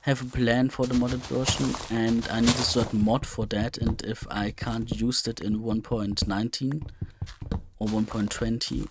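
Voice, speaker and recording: A man's voice: medium pitch, little pitch movement, moderate pace, background noise. A man giving casual, unscripted gameplay commentary, hesitant and rambling as he thinks aloud. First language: German. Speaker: let's play host.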